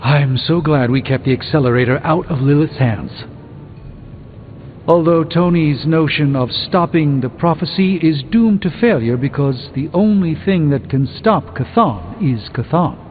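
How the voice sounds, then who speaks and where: An actor playing a thoughtful, weary sorcerer mentor in a video game, speaking in a measured, eloquent way. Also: male voice, on the high side, expressive. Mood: reflective, hopeful. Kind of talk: video game character dialogue. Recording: muffled phone-like band, long reverb, music behind.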